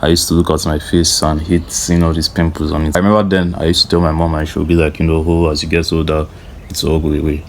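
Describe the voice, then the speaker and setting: A man in his early twenties speaking softly in a relaxed, slangy, rambling way in a casual reflective vlog. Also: fast talker, a little hiss or hum. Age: 20s. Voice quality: soft-spoken, low volume. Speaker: vlogger.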